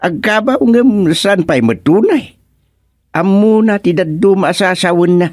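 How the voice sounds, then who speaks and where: A man's voice: high-pitched, expressive, unhurried, darkish tone, no echo, clean studio sound. A man playing a matter-of-fact village acquaintance in an Ilocano radio comedy, chatting in a folksy way. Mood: musing.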